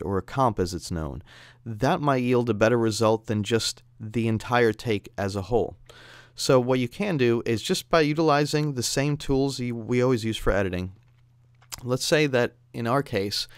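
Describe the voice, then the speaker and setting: Clear, dry-sounding male tutorial narrator. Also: mid-range voice, dry recording. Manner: explanatory, conversational. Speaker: audio software instructor. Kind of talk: instructional screencast narration.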